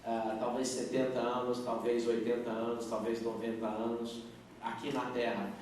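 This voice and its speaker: A middle-aged male pastor answering questions at a youth service, earnest and halting, with many fillers and restarts. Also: mid-range voice, little pitch movement, a little mellow. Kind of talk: live Q&A at a youth church service. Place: large church hall. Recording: echoey hall, distant mic, faint noise.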